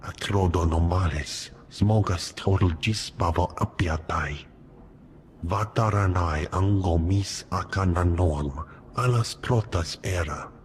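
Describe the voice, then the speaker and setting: An actor playing a male alien informant who speaks only an alien tongue, in an unhurried, chanting way. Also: sits low, a little hiss or hum. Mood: alien chatter. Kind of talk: video game voice acting.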